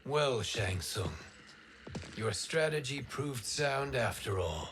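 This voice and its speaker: An actor playing a calm, noble god in a fantasy game, speaking formally. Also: male voice, mid-range voice, slow, background noise. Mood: composed. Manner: formal, composed. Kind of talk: dramatic video game acting. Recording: game music underneath.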